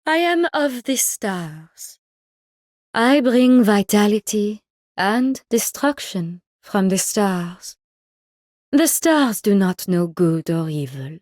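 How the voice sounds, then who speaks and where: A woman's voice: on the high side, a little mellow, dry recording, studio quality. An actress playing an imperious, detached cosmic being in a video game, solemn and grandiose in her declamation. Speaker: game character voice.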